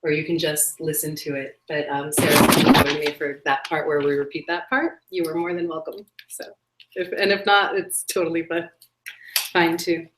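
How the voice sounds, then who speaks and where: Woman host, low voice, soft and dreamy, loose with fillers, roomy echo. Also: flat delivery, moderate pace, background noise. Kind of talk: live spiritual broadcast, casual chat. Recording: echoey room.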